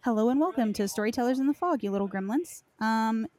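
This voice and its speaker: A woman's voice: a little high, lively intonation, fast talker, a little mellow. Woman podcast host, noisy recording. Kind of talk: dramatic reading and casual chat. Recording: clearly noisy recording with clicks.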